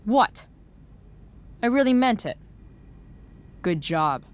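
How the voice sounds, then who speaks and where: An actress voicing a rebellious teenage daughter in a game cutscene, evasive and sardonic with hesitant delivery. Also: female voice, on the high side, big pitch swings, takes it slowly. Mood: sarcastic. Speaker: video game voice actor. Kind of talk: dramatic game cutscene acting.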